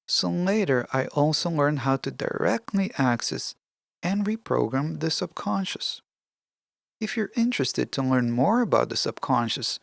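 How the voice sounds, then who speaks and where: Gravelly, dry-sounding podcast host. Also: medium pitch, no room sound. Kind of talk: scripted self-help podcast narration.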